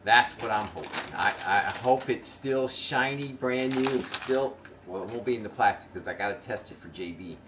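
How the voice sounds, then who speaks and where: A man's voice: medium pitch, normal speed, few pauses, background noise. An older man in casual chat, folksy and rambling with lots of fillers, his voice a little congested from a cold. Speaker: vlog host. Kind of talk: casual chat and how-to demonstration.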